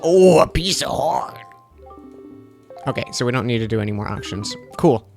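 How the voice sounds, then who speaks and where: A man's voice: mid-range voice, expressive, moderate pace, gravelly, darkish tone, soft background music. A man in his thirties casually chatting and joking with his chat while streaming a game. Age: 30s. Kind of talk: casual live-stream commentary over gameplay.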